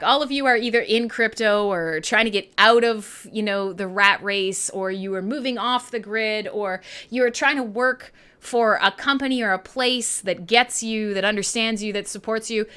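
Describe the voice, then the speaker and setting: Bright, high-pitched Canadian woman hosting radio. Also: moderate pace, clean tone. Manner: animated, slangy, sweary. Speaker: radio show host and DJ. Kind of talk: casual, irreverent live radio chat between songs.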